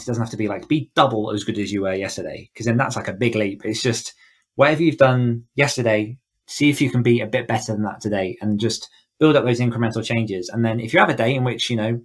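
A man speaking casually and reflectively as an interview guest, feeling out his phrasing in a thoughtful way. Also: mid-range voice. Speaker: guest author on a podcast.

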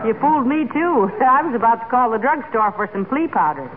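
A woman's voice: fairly high pitch, animated, brisk, close to an everyday voice. Lady acting as wry american housewife, fond but scolding, 1940s radio comedy, thin crackly sound. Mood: amused, scolding. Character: sensible, affectionate housewife with dry teasing wit. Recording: scratchy, narrow-band old radio recording.

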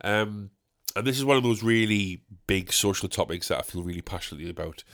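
A man's voice: lowish voice, fast, fairly bright tone. A man hosting his podcast solo from his bedroom, rambling and joking at his own expense, with a snotty, stuffed-up voice from a cold. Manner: rambling, self-mocking, jokey asides. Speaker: podcast host and stand-up comedian.

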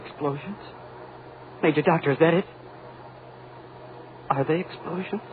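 A man's voice: fairly high pitch, measured pace, narrow, tinny audio, close to an everyday voice. A man acting a dutiful, deferential young radio operator on a rocket ship in a 1940s radio drama. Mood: uneasy. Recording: thin, faintly noisy 1940s radio recording.

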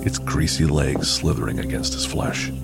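A man narrating a scripted horror audio drama in a grave, deliberate, gravelly storytelling manner. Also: a bass voice. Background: music.

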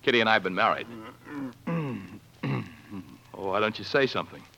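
An ardent young American gentleman in love, in a forties radio play. His voice is deep, forceful and animated, with polished diction, coming through a muffled old broadcast. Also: normal speed, a little hiss or hum. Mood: eager, nervous. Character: earnest, romantic young upper-class groom. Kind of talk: 1940s radio drama acting.

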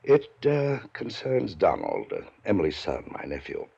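An actor playing a pompous, domineering wealthy older uncle in a 1950s radio drama. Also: male voice, sits low, wildly swooping pitch, moderate pace, vocal fry, dark tone, breathy edge, heavily acted. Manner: pompous, clipped, rises to angry lecturing. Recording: dull lo-fi 1950s radio broadcast.

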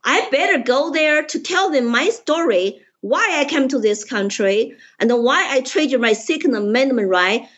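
A woman with a Chinese accent tells her story in a slightly high voice, earnest and forceful in her emphasis. The audio is dull and lo-fi, as if muffled. She speaks in plain, direct sentences. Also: expressive, unhurried, hardly any pauses. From China. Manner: earnest, emphatic, simple grammar. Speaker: political candidate, guest. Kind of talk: interview storytelling.

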